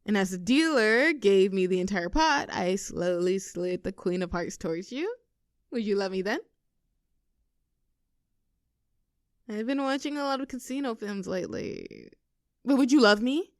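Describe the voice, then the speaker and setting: A woman playing a playful, clingy young girlfriend in an intimate roleplay audio, teasing and cooing in an animated, affectionate way. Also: mid-range voice, moderate pace, no room sound, studio quality, natural voice. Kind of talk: intimate girlfriend roleplay audio, scripted one-sided conversation.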